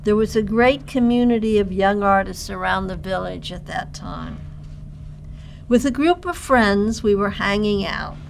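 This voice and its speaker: An older woman tells a personal memoir at a measured, reminiscing pace. Her voice has a gravelly, creaky texture. A faint hum of noise sits underneath. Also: mid-range voice, poor audio. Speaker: storyteller.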